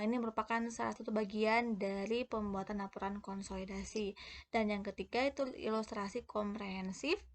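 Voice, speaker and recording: A woman narrating an explanatory lesson as a lecturer, often hesitating and restarting words mid-way. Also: mid-range voice. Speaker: accounting lecturer.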